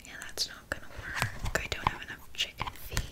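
Whispering, deadpan witch, played by a woman. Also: brisk, close to an everyday voice. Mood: casually unbothered. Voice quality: soft, breathy whisper. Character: flaky, passive-aggressive witch giving a consultation. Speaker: ASMR roleplay performer. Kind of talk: ASMR roleplay.

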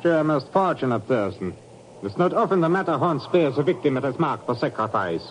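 Haughty mountaineer, higher-pitched voice. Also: male voice, sing-song, brisk. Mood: coolly fatalistic. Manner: formal, ornate, coolly superior. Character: haughty, evasive male European mountaineering expert. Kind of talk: 1950s radio drama acting. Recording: faintly noisy 1950s radio recording.

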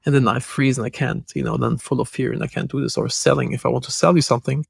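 A man's voice: mid-range voice, steady tone, vocal fry, husky, no echo. A man with a German accent hosting a podcast, talking in a casual, loose way with frequent restarts and fillers. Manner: fast, loose talk with many restarts and fillers. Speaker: podcast host.

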